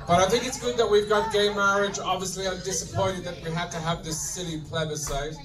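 Irish stand-up comic, middle-aged man, high-pitched and deadpan, heard from across the club over crowd laughter. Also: a little fast. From Ireland. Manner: deadpan, swears freely, addresses audience as folks. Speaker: stand-up comedian. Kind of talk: stand-up comedy routine. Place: club stage in front of a laughing audience. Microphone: handheld mic, filmed from the audience. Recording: distant room recording with crowd laughter.

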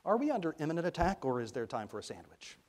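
A man's voice: fairly high pitch, sing-song, fast talker, a little mellow, voice pushed up. Man reading as a breezy, spirited girl. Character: spirited, playful, confident girl, a brisk friend.

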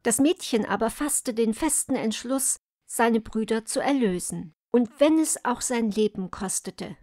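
Slow-paced woman reading a fairy tale. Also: fairly high pitch, a little mellow, no room sound, clean studio sound. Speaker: storyteller. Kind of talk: scripted fairy tale narration.

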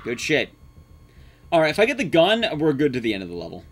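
Higher-pitched, animated young man streaming. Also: fast. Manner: sweary, joking, sarcastic. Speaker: game streamer, speedrunner. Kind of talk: casual live stream commentary while playing.

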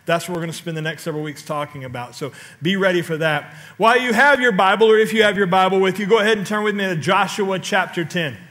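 A middle-aged male pastor preaching on a large church stage into a headset mic, telling stories with sudden loud outbursts and questions to the congregation. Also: high voice, fast talker, hardly any pauses. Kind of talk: sermon.

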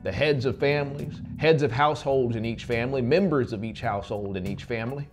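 A man's voice: medium pitch, brisk. A man in his thirties narrating a story dramatically as an audiobook, close to the mic. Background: music.

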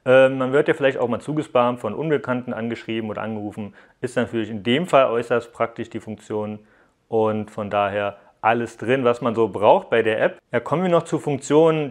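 A young man giving a casual, conversational hands-on review to viewers, explaining things informally as he goes. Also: mid-range voice. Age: young adult. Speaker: tech app reviewer. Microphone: lapel mic.

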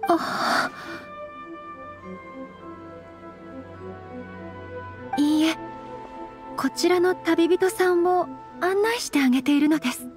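A woman's voice: very high voice, measured pace. An actress playing a demure, courteous young noble lady in a video game, speaking politely and formally. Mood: modest. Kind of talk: anime-style video game voice acting. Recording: background game music.

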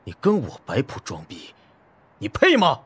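A man's voice: mid-range voice, wildly swooping pitch, moderate pace, breathy edge, exaggerated voice. A man voicing an arrogant, hot-tempered military officer in a Chinese audiobook, curt and blunt in manner. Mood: cold contempt.

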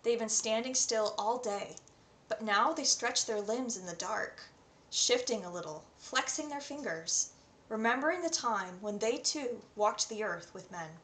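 A woman's voice: fairly high pitch, animated, measured pace, fairly bright tone. Young North American woman, roomy sound. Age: 20s. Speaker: online English tutor. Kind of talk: self-introduction to camera with poem readings. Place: small indoor room at home. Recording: roomy echo, faint background noise.

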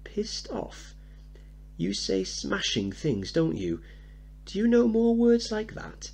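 Well-brought-up young boy voiced by a man, innocent and proper, high pitch, very animated, stage play reading. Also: takes it slowly, vocal fry, heavily acted. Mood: awed, curious. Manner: proper, polite, wide-eyed. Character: polite posh seven-year-old boy, bright, innocent, eager.

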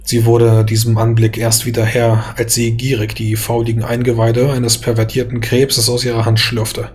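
A man's voice: mid-range voice, darkish tone. A man reading a scripted, dramatic fantasy story as its narrator.

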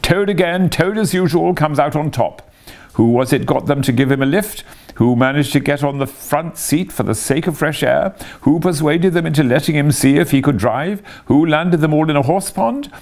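Boastful toad, high and crowing, gravelly edge, a man putting it on. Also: normal speed, lightly acted. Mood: gleeful boasting. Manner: gleeful, self-admiring, shouting. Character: boastful reckless male toad, disguised as washerwoman.

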